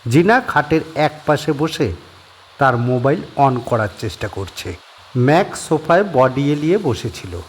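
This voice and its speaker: A man narrating a horror audio story in a measured storytelling manner. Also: medium pitch, big pitch swings, slight background noise.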